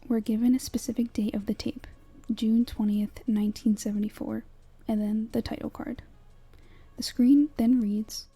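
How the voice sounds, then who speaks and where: A young woman narrates in a hushed, breathy whisper with a very mellow, muted tone. The voice is husky and lightly crackles as she delivers a scripted, measured horror breakdown, much like ASMR storytelling. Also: a little high.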